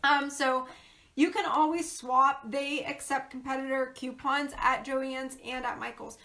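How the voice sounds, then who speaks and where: A woman's voice: high-pitched, steady tone, unhurried, sharp tone. A woman who is losing her voice, chatting casually and rambling with lots of ums and laughs while hosting a crafting video. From Pennsylvania, USA. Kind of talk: casual crafting livestream chat.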